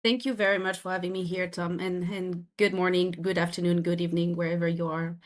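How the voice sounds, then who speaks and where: Woman, French accent, lowish voice, thirties, earnest podcast guest, restarts phrases. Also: level tone, a little fast. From France. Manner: earnest, explanatory, with frequent restarts. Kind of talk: podcast interview.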